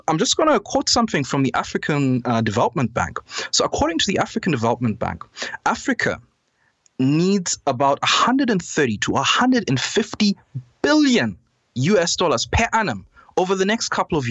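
A man's voice: a little high, wildly swooping pitch, moderate pace, a bit bright, slightly rough, no room sound, highs cut off. Earnest Zambian man, dry close sound. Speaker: guest commentator. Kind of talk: conversational analysis on a podcast.

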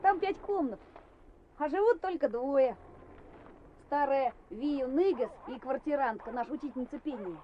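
Village schoolboy, very high lively voice, earnest and keen, old radio drama. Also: female voice, normal speed, reverberant. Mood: friendly, eager. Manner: earnest, enthusiastic. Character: eager, helpful village schoolboy. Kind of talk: radio play acting. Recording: noisy, muffled old radio play.

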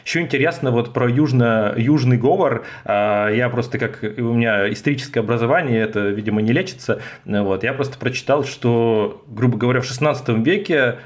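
A man's voice: mid-range voice, brisk. A man hosting a casual chat, asking his guest questions and adding joking asides about himself. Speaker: podcast host.

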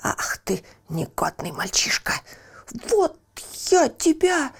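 A woman's voice: high voice, sing-song, slow pace, vocal fry, in a whisper, pitched above the performer's own voice, heavily acted. A woman voicing a sly, boastful male barber and informer in a children's fairy tale, wheedling and blustering. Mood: angry, blustering. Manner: wheedling with customers, blustering when crossed.

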